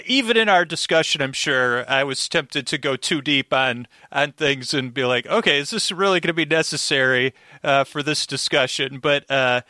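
A man's voice: medium pitch, sharp tone, no room sound, studio quality. A man chatting casually as a podcast guest, rambling and self-deprecating. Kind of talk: casual chat.

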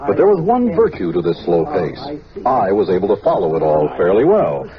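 An actor playing a dutiful, earnest army officer in an old-time radio drama, formal and measured. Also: male voice, low voice, wildly swooping pitch, a crowd in the background. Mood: measured, narrating. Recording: dull vintage radio recording with music.

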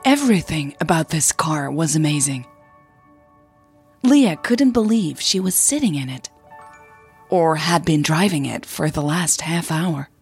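A woman podcast host narrating an audio drama in a soft, unhurried, breathy way. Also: fairly low pitch, no echo. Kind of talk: audio drama storytelling with informal podcast intro.

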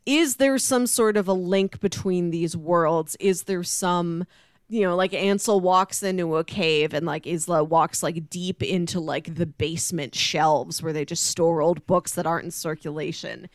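A woman's voice: on the low side, fairly even intonation, a little slow, no echo, studio quality. A woman hosting a podcast, chatting casually and informally in a musing way about books. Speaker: podcast host. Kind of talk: casual book discussion chat.

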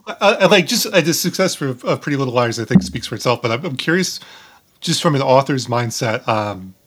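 Halting male podcast host, mid-range voice. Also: medium pitch, animated, fairly bright tone. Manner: halting, stammering word repetitions. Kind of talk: casual interview chat.

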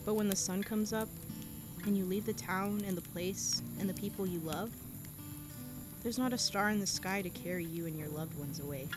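A woman's voice: medium pitch, normal speed, music in the background, natural voice. An actress playing a reflective seventeen-year-old girl, talking into a tape recorder in an audio drama. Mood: wistful. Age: teens. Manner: wistful, introspective diary-style monologue. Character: reflective teenage girl on the run. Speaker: narrator and lead character.

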